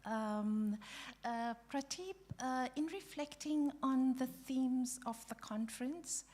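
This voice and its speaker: Woman moderating a panel, kinda high voice, slow and stop-start. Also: a little mellow. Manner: halting, many restarts and fillers. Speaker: panel chair. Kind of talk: chairing a conference panel. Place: conference hall.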